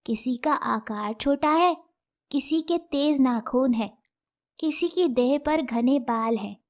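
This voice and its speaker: A woman plays a deferential jungle animal serving the lion king in a storybook fable. The voice is high and slow, respectful and pleading. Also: darkish tone. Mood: humble. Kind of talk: animal fable character acting.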